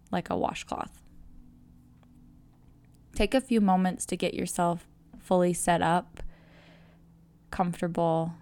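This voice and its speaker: A woman guiding a relaxation meditation, speaking in a calm, measured, soothing way with pauses between phrases. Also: fairly low pitch, creaky. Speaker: yoga nidra guide. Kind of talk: guided meditation narration.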